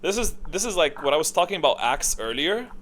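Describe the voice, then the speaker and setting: A man giving casual, off-the-cuff ranking commentary in an informal, opinionated way, often halting to think aloud. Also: fairly high pitch, sing-song, fairly bright tone. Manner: opinionated, informal, mildly profane. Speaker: video creator giving a tier list. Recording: clearly audible background noise.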